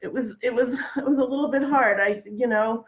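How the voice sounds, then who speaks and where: A woman doing a stand-up set from home, with a dry, deadpan delivery. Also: on the high side, moderate pace. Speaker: stand-up comedian. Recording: tinny echoey phone-like line.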